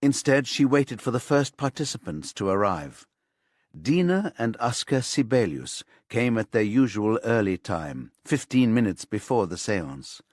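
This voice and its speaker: A man with a fairly low voice narrates a crime thriller audiobook. His delivery is measured and scripted, and the recording is very dry and close, with no room sound. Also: dry recording.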